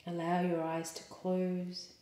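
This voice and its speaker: A woman yoga teacher leading a guided meditation in a calm, soothing, measured way with pauses. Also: on the low side. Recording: roomy, echoing sound.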